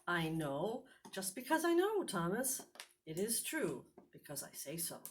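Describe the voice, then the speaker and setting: A woman's voice: lowish voice, sing-song, unhurried, a little hiss or hum. A woman voicing a stern, irritated male rabbi schoolteacher in a children's storybook, curt and emphatic.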